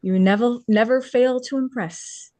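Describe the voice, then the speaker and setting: A woman reading the part of a warm, earnest costume designer in a screenplay table read. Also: fairly high pitch, a little slow, dry recording, lightly acted. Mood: friendly, chatty. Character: warm, earnest female costume designer back in her hometown.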